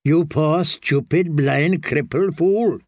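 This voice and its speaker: A man's voice: on the high side, slow, a little mellow, no room sound. A man voicing a bitter, spiteful dwarf who snarls his words with malice. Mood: snarling. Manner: snarling, venomous.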